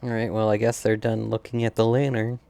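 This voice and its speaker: Very low-voiced woman streaming a game. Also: level tone, a little fast, gravelly, mellow. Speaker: gameplay streamer reacting. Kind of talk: casual chat, game commentary.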